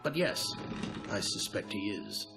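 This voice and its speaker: A dignified old alien general. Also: male voice, lowish voice, huge pitch swings, creaky, full character voice. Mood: measured. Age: older. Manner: measured, formal, grandfatherly. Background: game score music.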